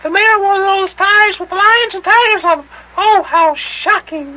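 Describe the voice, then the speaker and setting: A man's voice: very high voice, sharp tone, pitched above the performer's own voice, exaggerated voice. A man voicing a genteel, fluttery, romantic widow who gushes primly in old-time radio comedy. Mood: prim shock. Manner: fluttery, gushing, drawn-out. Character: gushing, genteel, prim, romantic widow lady. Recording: muffled, hissy vintage radio recording.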